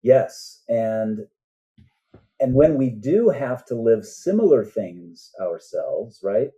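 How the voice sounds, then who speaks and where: A man speaking as a guest author in an interview, in an earnest, halting manner with deliberate pauses. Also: medium pitch, sing-song, warm tone. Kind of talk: conversational interview answers. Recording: muffled, dull band-limited sound.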